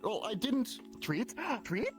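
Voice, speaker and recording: A man from Germany with a German accent, chatting excitedly in a game, stammering and yelling. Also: high-pitched, slow pace, soft background music. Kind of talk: casual chat while playing a game.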